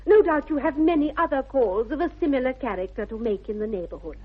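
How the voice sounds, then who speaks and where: An actress playing a spirited, prim young upper-class English lady in a 1960s radio comedy, crisply and pointedly polite. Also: high voice, expressive, fast talker. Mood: icily defiant. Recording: thin, phone-like old radio recording.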